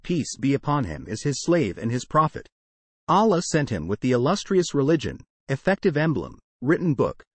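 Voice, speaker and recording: A man reads a religious text in a measured, declamatory way, his pitch rising and falling with lively emphasis. The voice is close and dry, with no room sound around it. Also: slightly rough, no room sound. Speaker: sermon reader. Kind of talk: solemn scripted narration over slideshow.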